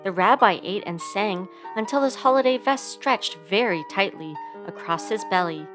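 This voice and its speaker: Expressive woman reading a storybook over music. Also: mid-range voice, moderate pace. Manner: theatrical emphasis on sound-effect words. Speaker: storybook reader. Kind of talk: expressive scripted children's read-aloud. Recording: music playing behind the voice. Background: instrumental music.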